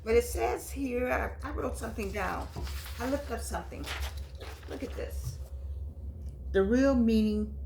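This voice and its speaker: A woman in her eighties hosting her own vlog, chatting and reminiscing in long, drawn-out phrases. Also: on the high side, vocal fry, a little mellow, a little hiss or hum. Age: 80s. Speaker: host. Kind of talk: casual vlog monologue.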